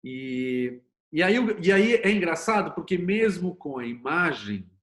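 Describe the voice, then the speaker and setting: A man's voice: a little high, lively intonation, a little slow, a bit bright. A Brazilian man talking as an interview guest, explaining things conversationally in a storytelling way with frequent tag questions. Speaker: interview guest, series producer and screenwriter.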